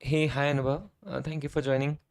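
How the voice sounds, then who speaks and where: A man with a hoarse, worn-down voice, chatting casually on a live coding stream, often tagging his sentences with "right?" and clearing his throat. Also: medium pitch, little pitch movement, quick pace. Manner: conversational, frequent "right?" tag questions, throat clearing. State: hoarse, voice almost gone. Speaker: streamer and software engineer. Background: keyboard typing and clicks.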